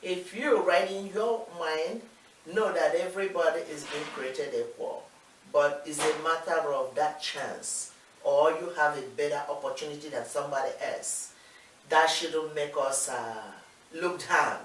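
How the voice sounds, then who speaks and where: A woman with a somewhat low voice co-hosts a talk show, often agreeing and adding to her partner's points. She is heard from a distance in an echoey room. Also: measured pace, slight background noise. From Nigeria. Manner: affirming, frequent agreement. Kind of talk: casual discussion.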